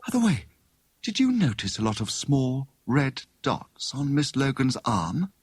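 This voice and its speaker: A man voicing a thoughtful, inquisitive young woman amateur detective in an audiobook story, musing deliberately. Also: mid-range voice, big pitch swings, husky, dry recording, studio quality. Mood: speculative.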